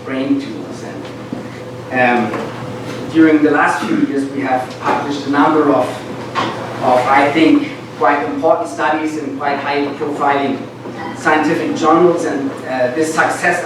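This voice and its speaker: A middle-aged man with a German accent gives an informal academic talk to students, halting and often repeating words. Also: a little high, steady tone. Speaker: guest lecturer, medical school professor. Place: lecture classroom, indoors. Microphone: far camera at back of room. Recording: distant, very echoey, noisy room recording.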